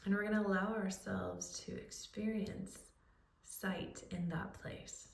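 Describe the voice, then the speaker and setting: A woman leading a guided relaxation, speaking in a soothing, unhurried way with pauses as she gives gentle instructions. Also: medium pitch, level tone, creaky. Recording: roomy echo, distant microphone.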